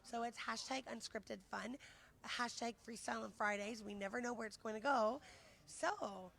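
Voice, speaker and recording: A woman's voice: on the high side, vocal fry, a bit bright. A woman hosting a casual live-streamed chat at a conference, upbeat and enthusiastic, and she is losing her voice. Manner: upbeat, enthusiastic, quick to say "awesome". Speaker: host. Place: conference venue, indoors.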